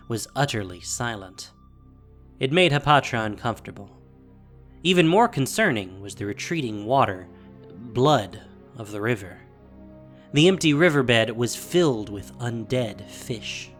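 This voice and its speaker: A woman narrating a scripted fantasy story in a theatrical, measured, dramatic style. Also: very deep voice.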